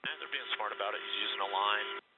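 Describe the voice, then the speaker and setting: A man flying a rescue helicopter, talking calmly and thinking aloud over the headset intercom. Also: lowish voice, fast, narrow, tinny audio. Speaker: helicopter pilot. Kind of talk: radio intercom calls during a rescue. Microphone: aviation headset intercom. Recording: loud helicopter rotor noise.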